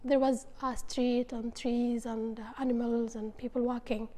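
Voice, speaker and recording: Young woman from yemen, kinda high voice, stops and hunts for words, reverby room. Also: little pitch movement, dark tone. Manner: halting, searching for words. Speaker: interviewee. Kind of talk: casual interview answers.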